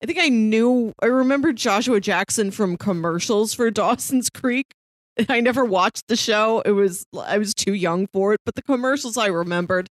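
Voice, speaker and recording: A woman with a medium-pitched voice speaks as a podcast co-host. She talks in a casual, conversational way, as if reviewing and recapping with a partner. The sound is dry, with very little room around the voice. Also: normal speed, no echo.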